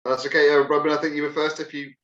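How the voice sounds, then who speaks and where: A man's voice: fairly high pitch, little pitch movement, racing, a bit bright, lo-fi sound. A man in an online workshop making a suggestion, hesitating and repeating words as he builds his point. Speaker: workshop participant. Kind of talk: online group discussion.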